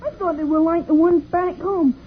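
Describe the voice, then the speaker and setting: High-pitched eager young boy. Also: normal speed. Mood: curious, eager. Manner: earnest, slangy, inquisitive. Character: eager, cocky young boy, keen apprentice space pilot. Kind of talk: 1950s radio serial acting. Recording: scratchy, tinny old radio recording.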